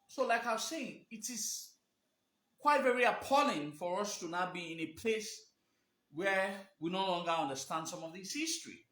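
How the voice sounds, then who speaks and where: A man's voice: high-pitched, lively intonation, moderate pace, a bit bright. A man hosting a live video from his phone, talking emphatically and often restarting his phrases. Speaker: livestream host. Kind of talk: live video talk answering viewer questions. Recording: echoey room, phone livestream.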